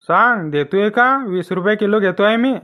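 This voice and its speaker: A man's voice: high voice, moderate pace, over music, natural voice. A man voicing a pushy, bargaining village buyer in a comic cartoon, insistent and casual.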